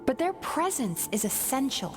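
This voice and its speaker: A woman playing a calm, firm dream therapist in scripted game dialogue, speaking in a measured, articulate and earnest way. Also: sits high. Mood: firm, reproving. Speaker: therapist character. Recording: game music behind the voice. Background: loud game music.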